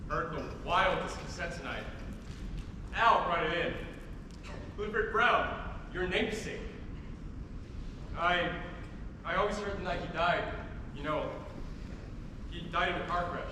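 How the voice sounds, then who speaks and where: A man's voice: sits high, a little slow, long pauses. A man on stage playing an evasive, absent-minded jazz musician and father, hesitant and placating in slangy talk. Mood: tentative, enthusiastic. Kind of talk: theatrical stage acting. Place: school theatre stage. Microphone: distant room microphone. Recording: echoey hall, distant mic, noisy.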